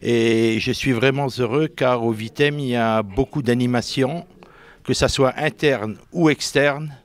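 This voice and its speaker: A man's voice: mid-range voice, measured pace. A man from Lorraine, a resident's son acting in the play, speaking hesitantly in a short interview. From Lorraine, France. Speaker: volunteer actor and relative of a resident.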